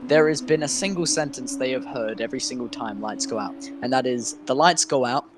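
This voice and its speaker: A man's voice: mid-range voice, brisk, noisy background. A man co-commentating a sim race, playful and dramatic, often stammering as he starts a sentence.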